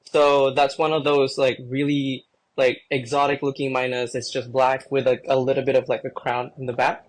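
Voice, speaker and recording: A man's voice: mid-range voice, normal speed, rough recording. Filipino-born man, easygoing guest chat. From Philippines. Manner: relaxed, rambling with many fillers. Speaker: podcast guest. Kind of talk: casual chat.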